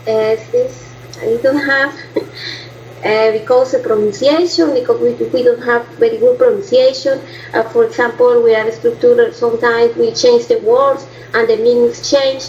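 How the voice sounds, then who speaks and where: Brief: woman student, fairly high voice, halting learner's English, lo-fi classroom recording with faint noise. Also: fairly even intonation, a little mellow. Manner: hesitant, many fillers and restarts, learner's English. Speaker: language student. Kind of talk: spoken class presentation.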